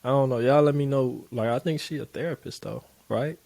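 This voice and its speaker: A man giving casual, blunt reaction commentary on a clip he keeps pausing. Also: medium pitch, creaky, a little mellow. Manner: loose, blunt, profane street talk. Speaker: reaction video host.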